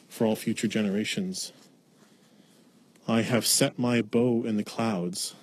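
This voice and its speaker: A man's voice: medium pitch, poor audio. A man reading scripture aloud outdoors on a forest trail. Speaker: scripture reader. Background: gentle breeze, birds and squirrels.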